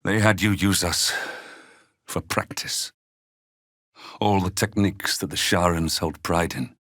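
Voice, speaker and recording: A man playing a gentle, weary older father in a fantasy video game, speaking in measured, earnest, formal phrases. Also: deep voice, steady tone. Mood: sorrowful. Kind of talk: dramatic fantasy game dialogue.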